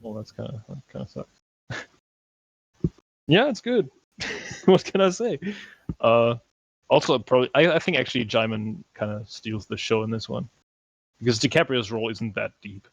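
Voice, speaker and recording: A man's voice: mid-range voice, expressive, moderate pace, gravelly, pauses often, slightly rough, no room sound, muffled recording. A man co-hosting a film podcast, chatting casually and giving hedged, understated opinions. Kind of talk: casual chat reviewing films.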